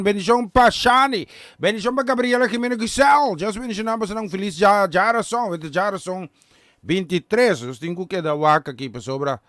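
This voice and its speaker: A man with a Curaçao accent hosting a gospel radio show, greeting listeners in a halting mix of languages, Papiamentu being his first. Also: sits high, fairly bright tone, no room sound, clean studio sound. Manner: halting, switches between languages, sends blessings. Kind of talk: casual radio chat with greetings. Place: radio studio.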